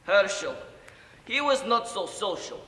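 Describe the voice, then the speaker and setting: A man performing a dramatic monologue as an old, boozy, guilt-ridden Ukrainian grandfather, speaking halting broken English. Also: high-pitched, very animated, sharp tone, full character voice. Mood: fond reminiscing. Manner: halting broken English with sighs and murmured asides. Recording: echoey hall, distant, audible room noise.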